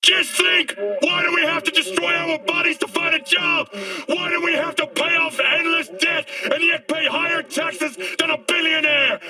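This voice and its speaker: An actor playing a fiery dystopian street preacher in a video game, ranting in an impassioned, declamatory way. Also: male voice, very high voice, bright, forceful tone, distant mic, exaggerated voice, rough recording. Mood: outraged. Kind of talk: dramatic video game monologue.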